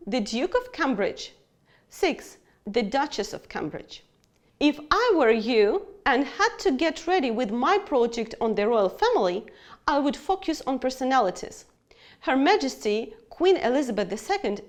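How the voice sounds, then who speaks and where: Female online teacher, high register, animated melody, scripted instructional delivery with questions to the learner. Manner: instructional, addressing students with questions. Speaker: English teacher. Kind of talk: scripted online lesson narration.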